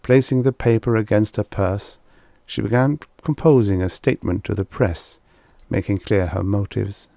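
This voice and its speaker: A man's voice: fairly low pitch, steady tone, normal speed, creaky, few pauses, mellow, no room sound. A man reading a vintage science fiction short story as scripted audiobook narration.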